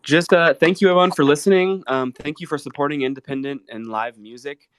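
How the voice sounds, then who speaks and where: A man's voice: on the high side, fairly quick, a bit bright, smooth, no room sound, studio quality. A man who is a guest musician, talking casually in an earnest, grateful way. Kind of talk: casual heartfelt talk.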